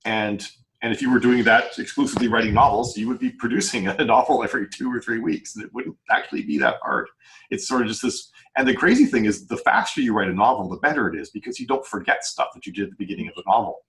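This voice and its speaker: A man with a deep voice talks at a quick clip in casual podcast conversation. He explains things earnestly, rambling and backtracking to rephrase as he goes. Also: lively intonation, a bit bright, rough recording. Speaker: novelist and podcast panelist.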